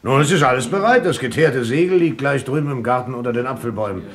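An actor playing a hearty, sly pirate henchman in a radio play, brisk and jovial, with mock politeness. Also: mid-range voice, natural voice. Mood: smooth, sly. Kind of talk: 1970s German radio play acting.

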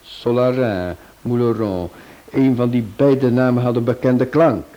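An actor playing a clever detective who lays out his reasoning in a measured way in a radio play. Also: mid-range voice, steady tone, mellow, close to an everyday voice. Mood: explaining. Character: clever male detective, analytical. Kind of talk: vintage radio play acting. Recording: dull old recording.

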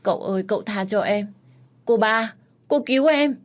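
A woman voicing a frightened young female servant who begs in a high, tearful voice. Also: normal speed, close to an everyday voice. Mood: pleading.

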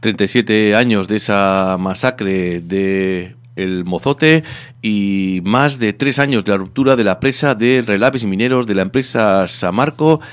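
A man presenting radio news and introducing a book reading in a measured, deliberate way. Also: lowish voice, clean tone, no room sound. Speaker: radio host.

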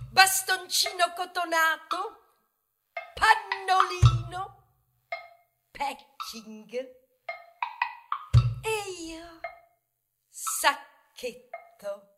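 A woman performing a boastful, resentful young plastic bag in a theatrical radio monologue, declamatory and emphatic, pausing between words and at times singsong. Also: high-pitched, exaggerated voice. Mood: proud, wistful. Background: music.

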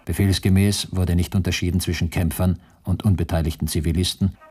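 A male actor playing a former prisoner who recalls the war in sober, measured radio narration. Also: low-pitched, level tone, background noise, natural voice. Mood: grave, sober. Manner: sober, measured, matter-of-fact. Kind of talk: scripted radio play narration.

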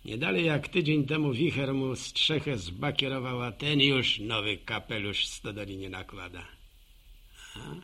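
An actor playing a chatty, homespun village postman with a gravelly voice, rambling in a folksy monologue for a radio drama. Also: medium pitch, a little slow, sharp tone. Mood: musing, proud. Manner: folksy, rambling, musing aloud to himself and listeners. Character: chatty, homespun old village postman, proud local gossip.

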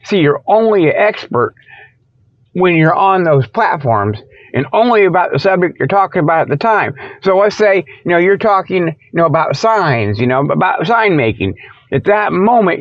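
A man's voice: high-pitched, dry recording. Dull lo-fi recording of a folksy rambling man. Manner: folksy, irreverent, rambling with frequent tangents. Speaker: radio show host. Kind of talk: rambling comic monologue with mock sales pitches. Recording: dull lo-fi radio sound.

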